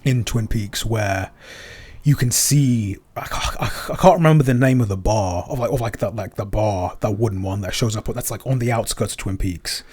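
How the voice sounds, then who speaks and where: A man with a fairly low voice speaks off the cuff in a casual podcast Q&A. He is halting, often doubling words and restarting phrases while he explains his creative work. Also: a little mellow, breathy edge. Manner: halting, stammering over repeated words. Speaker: podcast creator and composer answering listener questions.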